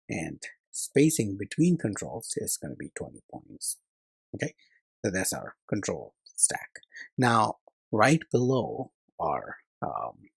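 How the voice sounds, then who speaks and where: Husky, low-voiced man teaching coding. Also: dry recording. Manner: hesitant, thinking aloud while working. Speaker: programming tutorial instructor. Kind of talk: step-by-step coding screencast narration.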